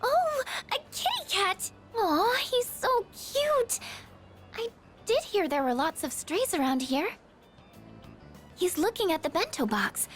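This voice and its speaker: A woman playing a shy, gentle, insecure teenage girl in a video game, with a hesitant, apologetic, stammering way of talking. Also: very high-pitched, big pitch swings, slow pace, soft background music, exaggerated voice. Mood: sweetly delighted. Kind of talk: video game character dialogue voice acting.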